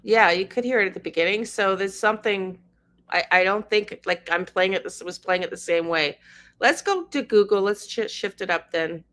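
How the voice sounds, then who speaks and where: A woman's voice: mid-range voice, normal speed, fairly bright tone. A middle-aged woman, hosting a casual livestream, reading news aloud and chatting with viewers by name. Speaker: livestream host.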